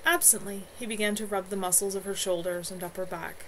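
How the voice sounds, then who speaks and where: A woman reading her own novel aloud as an author on a home livestream, in an expressive amateur narration style. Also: mid-range voice, brisk, slight background noise, rough recording. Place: at home, in a room with a bed.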